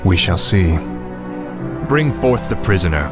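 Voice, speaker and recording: Low voice, arrogant, cold death knight, fallen prince,, video game villain, music underneath. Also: male voice, lively intonation, unhurried. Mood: menacing. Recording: music behind the voice.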